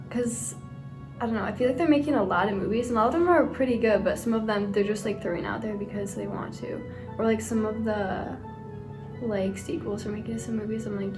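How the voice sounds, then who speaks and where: Young woman, relaxed interviewee, casual manner, background music. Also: mid-range voice. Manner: laid-back, giggly, filler "like". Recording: music underneath.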